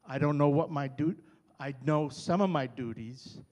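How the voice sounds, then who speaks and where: An older man with a Brooklyn accent, loud and chatty, introducing himself and his partner at a community meeting. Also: on the high side, normal speed. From New York. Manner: loud, chatty, self-deprecating. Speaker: new neighborhood representative. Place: chapel hall. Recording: reverberant hall.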